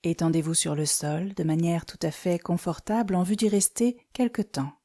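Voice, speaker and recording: A woman leading a guided yoga nidra meditation as an instructor, speaking calmly and soothingly in short phrases with pauses. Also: on the low side.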